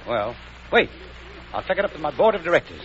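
Formal, hesitant young man out of work, quick and lively, lo-fi vintage radio with faint hiss. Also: fairly high pitch. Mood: polite. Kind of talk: 1940s radio acting. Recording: dull old radio recording, hiss.

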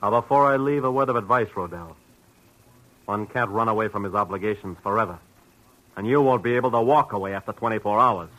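An actor playing a slick, menacing debt collector in a 1940s radio detective drama, taunting with mock politeness. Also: male voice, on the low side, fairly quick, pushed and bright. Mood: threatening, taunting. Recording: noisy old radio broadcast recording.

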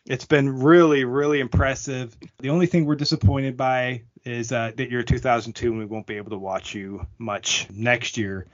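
A man's voice: medium pitch, fairly even intonation, moderate pace, hardly any pauses, dull audio. A man hosting a hockey podcast, welcoming a guest in an upbeat, casual way. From Canada. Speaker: podcast host.

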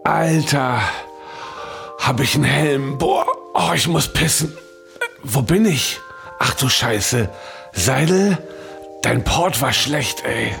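A man's voice: mid-range voice, animated, slow pace, gravelly, pauses often, a bit bright, faint music behind, heavily acted. A man playing a groggy, hungover man who mutters and groans in slang in a comic radio play. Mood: groggy, confused. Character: groggy hungover man waking after a binge.

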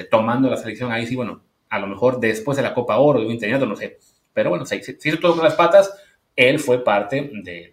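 A man's voice: medium pitch, echoey room. A man co-hosting a sports podcast, chatting casually and loosely with many filler words. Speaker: podcast co-host.

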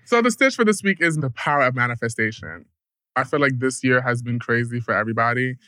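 A man's voice: medium pitch, quick pace, clear, resonant tone, no room sound, studio quality. A young man co-hosting a podcast, teasing his friend and steering the talk. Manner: teasing, lively, drives the topic. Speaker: podcast co-host. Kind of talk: casual banter.

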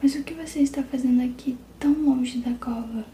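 A woman's voice: sits high, a little fast, dark tone, roomy sound, a little hiss or hum. A woman whispering as an earnest young boy. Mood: worried. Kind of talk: whispered storybook reading.

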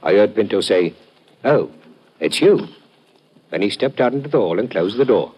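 A humble Cockney lodger with a deep, husky voice. He is plain-spoken and deferential, a working-class London witness out of an old radio crime play. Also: expressive, normal speed, warm tone, rough recording. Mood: earnest, deferential. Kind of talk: 1950s radio drama acting.

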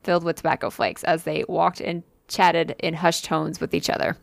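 A woman's voice: low-pitched, flat delivery, normal speed, creaky, dry recording. A woman casually reading a spooky listener story aloud on a podcast, with fillers and asides. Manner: reads with occasional fillers and asides.

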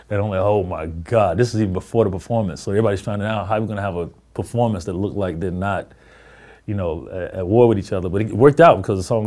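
A man, a record producer, casually telling backstage gossip in an interview clip. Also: on the low side. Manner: gossipy, animated retelling with fillers.

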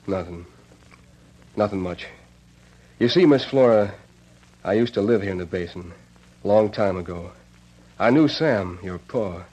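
An actor playing a mild older cowhand with a past, American accent, plain-spoken and hesitant, in an old radio western. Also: male voice, fairly low pitch, expressive, unhurried, pauses often, darkish tone, low-quality recording. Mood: friendly, guarded. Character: mild older ex-convict cowhand, trying to avoid trouble.

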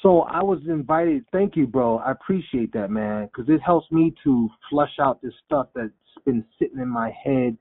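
A man in his forties telling his life story in an interview, animated and quoting himself in lively voices. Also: medium pitch, moderate pace, few pauses, slightly rough. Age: 40s. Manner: animated storyteller, acts out quotes. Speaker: guest, rapper. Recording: phone-like lo-fi sound.

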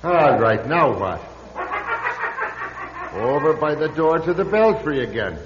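Flustered priest in echoing space. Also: male voice, a little high, slow pace. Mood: wary, grumbling. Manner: excitable, muttering, theatrical. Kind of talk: old-time radio drama acting. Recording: dull, noisy old radio recording, echoing hall.

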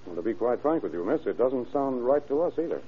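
An actor playing a calm, probing police lieutenant who questions politely and evenly in an old radio crime drama. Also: male voice, medium pitch, quick pace, slight background noise, close to an everyday voice. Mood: businesslike. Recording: muffled, lo-fi vintage radio recording.

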